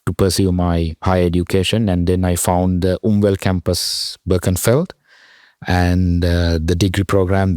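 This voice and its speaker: A young man from Sri Lanka, a PhD student, talking as a podcast guest in a halting way with many fillers. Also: low-pitched, a little mellow, no echo, clean studio sound. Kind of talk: podcast interview.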